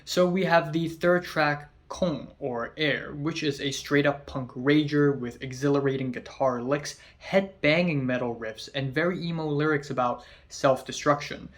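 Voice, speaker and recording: A man's voice: a little high, fairly even intonation, measured pace, few pauses. A young man hosting his own channel, giving a casual spoken album review, chatty with lots of fillers and casual swearing.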